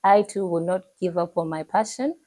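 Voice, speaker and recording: Earnest young Zambian woman, low and mellow. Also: moderate pace, no room sound, clean studio sound. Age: young adult. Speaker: pageant contestant. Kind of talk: prepared self-introduction to camera.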